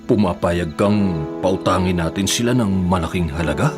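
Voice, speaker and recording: A man with a Filipino accent playing a worried, soft-hearted older husband with a husky voice in a radio drama. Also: low voice, moderate pace, over music, close to an everyday voice. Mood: grateful.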